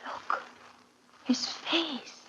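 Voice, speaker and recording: A woman's voice: extremely slow, a bit bright. An actress playing an entranced woman under a vampire's spell in 1930s radio drama, breathless and melodramatic. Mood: tense alarm. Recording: noisy vintage 1930s radio recording.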